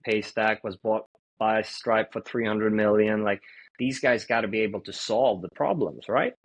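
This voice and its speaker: A man in his thirties from Sweden, a fintech founder answering interview questions in an easygoing, story-like way with many pauses. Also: lowish voice. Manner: relaxed storytelling with frequent "uh" pauses.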